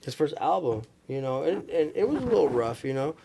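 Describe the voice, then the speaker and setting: A man's voice: a little high, big pitch swings, brisk, gravelly, background noise. An American man chatting casually as a podcast guest, with slangy, emphatic talk. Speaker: DJ and recording engineer, podcast guest. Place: small room with couches, indoors.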